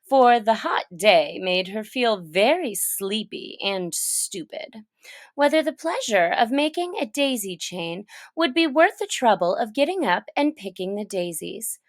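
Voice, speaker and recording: A woman reading a children's story aloud in a gentle, soft manner that often drops to near a whisper. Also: mid-range voice, no echo, studio quality.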